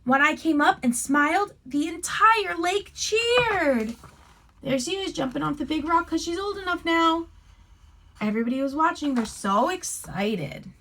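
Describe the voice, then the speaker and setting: Female teacher type for children's read-aloud: high voice, lively swooping intonation, expressive storybook delivery. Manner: expressive, deliberate phrasing, addressing young listeners. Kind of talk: animated picture-book read-aloud with friendly asides.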